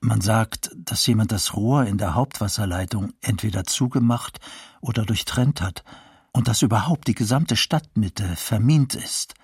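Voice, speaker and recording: Raspy, low-voiced male eyewitness. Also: normal speed, no room sound, clean studio sound. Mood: tense, reporting. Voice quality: raspy, breathy. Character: shaken male civilian eyewitness of wartime explosions. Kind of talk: first-person testimony in documentary radio play.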